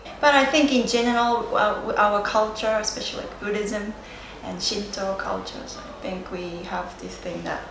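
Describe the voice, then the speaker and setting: A woman from Japan with a Japanese accent, a native Japanese speaker giving reflective, unscripted interview answers, hesitant and searching for words with frequent pauses. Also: medium pitch, steady tone. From Sendai area, Japan. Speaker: interviewee, yoga and Japanese teacher. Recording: roomy echo, clear background noise.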